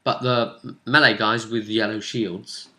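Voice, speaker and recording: A man's voice: on the low side, little pitch movement, takes it slowly, sharp tone. A man speaking as game master, casually recapping a tabletop game session and often thinking aloud as he goes.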